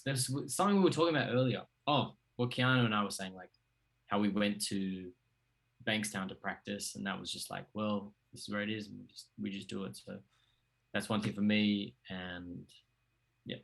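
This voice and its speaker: Gravelly-voiced man hosting a panel. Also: fairly low pitch, normal speed, rough recording. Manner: relaxed, uses slang. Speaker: panel host. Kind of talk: casual livestream panel moderating.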